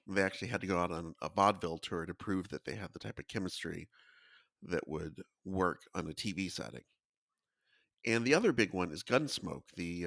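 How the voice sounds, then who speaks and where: A man's voice: deep voice, a bit bright, dry recording, studio quality. A man giving explanatory podcast commentary in a conversational style, as a panelist.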